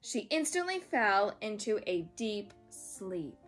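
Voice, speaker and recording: A woman storyteller reading a children's story aloud in a dramatic, expressive way. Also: mid-range voice, takes it slowly, faint music behind.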